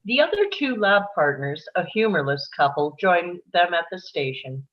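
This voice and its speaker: A woman's voice: on the low side, expressive, moderate pace, lo-fi sound. A woman reading stage directions as the narrator of a live screenplay table read.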